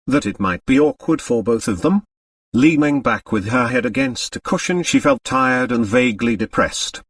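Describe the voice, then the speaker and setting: A man's voice: mid-range voice, dry recording, recorded from a distance. A man narrating a novel as an audiobook, reading from a script.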